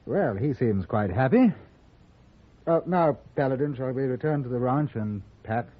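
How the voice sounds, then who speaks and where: An actor playing a polite, modest but brave English gentleman in a radio western, with a British accent and genteel, hesitant speech. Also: mid-range voice, lively intonation, normal speed, natural voice. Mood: polite, cheerful. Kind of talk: old-time radio western acting. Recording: muffled old radio recording, faint noise.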